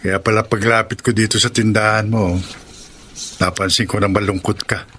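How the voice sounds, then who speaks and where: An actor playing a concerned, sympathetic male friend with a Filipino accent, gentle in a radio drama. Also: fairly low pitch, steady tone, normal speed, a little hiss or hum.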